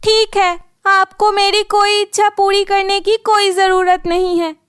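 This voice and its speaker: A woman's voice: very high voice, level tone, unhurried, a bit bright, no echo, clean studio sound, voice pushed up. A woman voicing an earnest, determined young college student with a pleading manner in a cartoon fairy tale. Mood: determined, upset.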